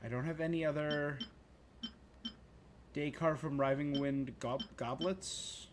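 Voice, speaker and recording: A man's voice: on the high side, steady tone, slow pace, noisy background. A man doing casual live gaming commentary as a streamer, thinking aloud in short bursts. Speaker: game streamer.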